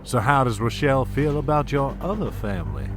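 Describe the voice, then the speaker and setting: A man's voice: medium pitch, very animated, brisk, music in the background, close to an everyday voice. A man playing a smug, scheming oil baron in an audio drama, silky, condescending and mock-courteous. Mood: silky blackmail.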